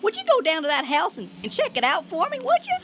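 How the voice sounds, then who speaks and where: An actress playing a frightened, flustered small-town Southern woman with a shrill, quavering voice in a comic radio drama. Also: huge pitch swings, quick pace, fairly bright tone, full character voice. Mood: alarmed, insistent. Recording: noisy radio drama recording.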